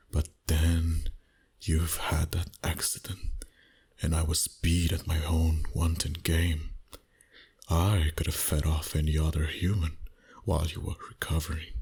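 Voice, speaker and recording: Man playing a very low, breathy seductive vampire boss. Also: a little mellow, full character voice. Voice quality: breathy, husky, often dropping to a whisper. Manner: slow, intimate, teasing. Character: dominant, flirty, seductive male vampire boss. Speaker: voice actor. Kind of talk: flirty ASMR roleplay. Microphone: close microphone.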